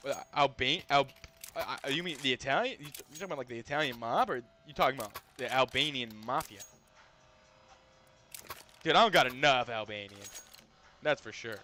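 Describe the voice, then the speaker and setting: A male streamer talks over his game in a bright, sharp-edged voice that keeps jumping into loud, cursing outbursts. Faint music sits under his voice. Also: mid-range voice. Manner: loud, profane, excitable outbursts. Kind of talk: casual live gameplay commentary.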